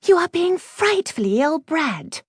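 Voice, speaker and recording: An anxious young lady at a girls' school, acted out by a woman narrator, speaks high and with big swings of pitch. She is eager, polite and flustered, in storybook style. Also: sing-song, moderate pace, a little mellow, breathy edge, no room sound, clean studio sound. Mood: protesting.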